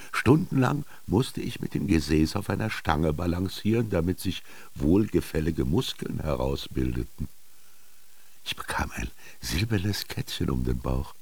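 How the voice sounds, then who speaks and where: Old Egyptian former goatherd, radio drama monologue, husky, wry, brooding, widely swinging intonation. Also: male voice, a bass voice, dry recording, heavily acted. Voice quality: gravelly, husky. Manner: slow, brooding, expressive storytelling with dramatic pauses.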